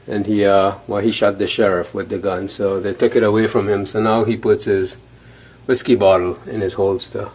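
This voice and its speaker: A man's voice: on the low side, little pitch movement, normal speed. A man in his fifties from Trinidad talking casually about his painting. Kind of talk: casual talk to camera about his work. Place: indoor gallery or studio. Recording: roomy, distant mic, faint noise.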